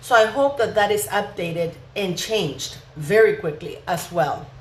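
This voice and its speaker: A woman's voice: lowish voice, measured pace. Echoey, emphatic woman gossip vlogger. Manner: emphatic, indignant, rhetorical questions. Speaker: vlog host. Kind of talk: opinionated royal gossip commentary. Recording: echoey room with faint background noise.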